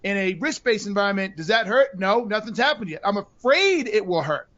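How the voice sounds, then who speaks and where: Podcast co-host, male, high-pitched, animated explainer, slightly dull recording. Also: a little fast. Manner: animated, acts out buyer voices in quotes. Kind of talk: casual podcast chat.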